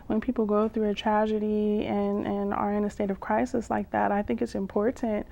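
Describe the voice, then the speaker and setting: A woman poet and vocalist performing spoken-word poetry with drawn-out phrases, pauses and hums, some lines half sung. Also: medium pitch, little pitch movement, creaky, warm tone. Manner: slow, drawn-out delivery with long pauses and hums. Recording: dull lo-fi sound.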